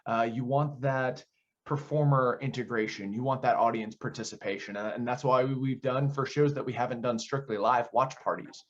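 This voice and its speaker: A man's voice: mid-range voice, steady tone, moderate pace. A young American man giving earnest, explanatory answers in a conversational interview as a theater director and actor. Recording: dull, lo-fi audio.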